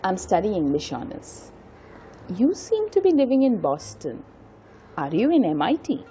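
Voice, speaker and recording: A woman's voice: medium pitch, wildly swooping pitch, normal speed, dark tone, faint music behind. A woman playing a bookish, teasing young Indian student in an audio drama, with an Indian accent. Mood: curious. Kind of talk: scripted audio drama dialogue.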